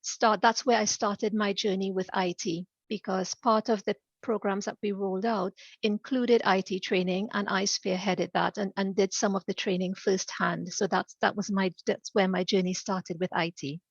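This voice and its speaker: A middle-aged woman from Trinidad with a Trinidadian accent, guest on a podcast interview, speaking in a measured way and laughing often between points. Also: mid-range voice, steady tone, breathy edge, no echo, muffled recording.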